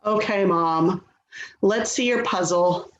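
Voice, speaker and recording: A woman's voice: mid-range voice, takes it slowly, lightly acted. A woman playing an exasperated, worrying adult daughter in a readers theater play, nagging and put-upon, recorded in an echoey room. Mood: coaxing. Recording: echoey room, dull sound, faint noise.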